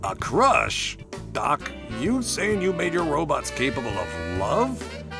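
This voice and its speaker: Man acting a gravelly, gruff older agent. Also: on the high side, big pitch swings, slow pace, heavily acted. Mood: skeptical. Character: gruff, protective older male agent, wry and stubborn. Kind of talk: comic dub voice acting. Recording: music behind the voice.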